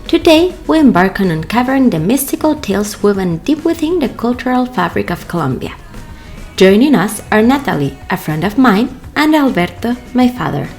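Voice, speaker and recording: A woman hosts a folklore podcast, reading her lines in a measured, scripted manner at a middle pitch. Faint music plays softly under her voice. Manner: measured, read-aloud introductions. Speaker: podcast host.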